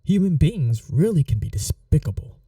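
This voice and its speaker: A man playing a calm, flirty, protective mafia boss in a soft-spoken ASMR roleplay, unhurried and reassuring with a teasing edge. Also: a little high, no room sound, distant mic. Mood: dry, businesslike.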